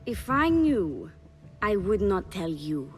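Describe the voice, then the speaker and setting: Slow, taunting, loyal guardian. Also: female voice, medium pitch, very animated, soft background music. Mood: defiant, warning. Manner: measured, mocking, self-possessed. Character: coolly taunting, fiercely loyal female guardian. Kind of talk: cinematic video game voice acting.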